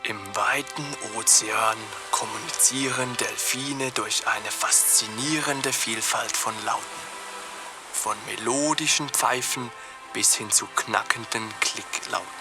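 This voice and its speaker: A man's voice: medium pitch, over loud music, natural voice. A man as a solemn TV documentary voice. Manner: measured documentary narration. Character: TV documentary narrator.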